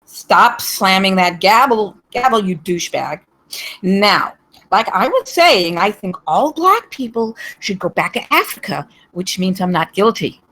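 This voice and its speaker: Woman as a smug, animated woman defendant. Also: medium pitch, unhurried, low-quality recording. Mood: self-righteous. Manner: self-righteous, insistent. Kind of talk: comedy script table read.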